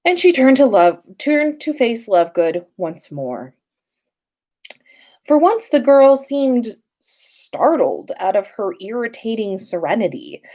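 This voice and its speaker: Female podcast storyteller, unhurried, animated pitch, expressive fiction reading.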